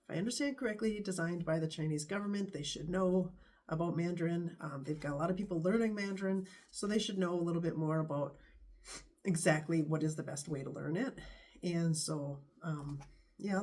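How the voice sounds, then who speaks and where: Stuffy-nosed vlogger, mellow tone. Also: medium pitch. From United States. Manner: rambling, hesitant, many pauses. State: stuffy nose from a bad cold. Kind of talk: casual talking-to-camera vlog.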